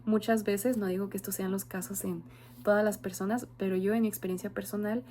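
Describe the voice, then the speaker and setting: A young Mexican woman in her twenties gives a class presentation in a mellow, warm tone. She talks earnestly and thoughtfully, pausing on soft "em" and "am" fillers as she gathers her points. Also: mid-range voice, fairly even intonation. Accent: Mexican Spanish. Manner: earnest, reflective, frequent "am" and "em" fillers. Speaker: university student.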